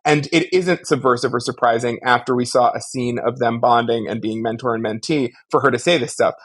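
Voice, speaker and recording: A man's voice: mid-range voice, fairly quick, fairly bright tone. A man co-hosting a podcast, giving casual but articulate critique in long, emphatic explanations. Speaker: podcast co-host. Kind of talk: casual media discussion and critique.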